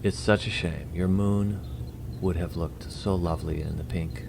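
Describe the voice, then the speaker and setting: Casting: courteous alien envoy played by a man, low-voiced, formal, grave and apologetic, radio drama, noisy ambience. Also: fairly even intonation, a little slow, gravelly. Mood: regretful. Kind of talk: audio drama acting.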